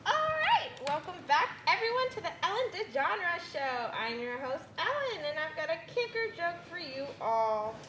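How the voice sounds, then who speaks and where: A woman's voice: very high-pitched, sing-song, moderate pace, few pauses, bright, forceful tone, echoey room, slight background noise, full character voice. A young woman playing a peppy, showy daytime TV talk show host in a live comedy radio sketch. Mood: showy, upbeat. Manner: showy, exclamatory presenter delivery. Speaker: student radio play performer.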